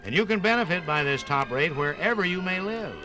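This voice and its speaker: A male radio announcer from the 1950s reads scripted narration with polish and emphasis. His voice is fairly high and forceful with a very lively melody, and some music plays along. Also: brisk. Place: radio studio.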